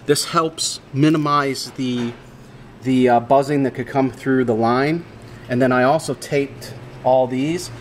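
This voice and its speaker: Man giving a tutorial, noisy background. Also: mid-range voice. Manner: plain-spoken, explanatory, repeats instructions. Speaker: audio equipment installer giving a tutorial. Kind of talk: casual hands-on product walkthrough. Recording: clearly noisy room background.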